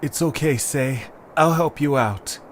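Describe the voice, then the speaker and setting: A man's voice: on the high side, unhurried, natural voice. A man voicing a loyal, reassuring young adventurer in an audio drama. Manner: friendly, reassuring. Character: loyal, easygoing, helpful young male adventurer. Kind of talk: cartoonish audio drama acting. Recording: faint background noise.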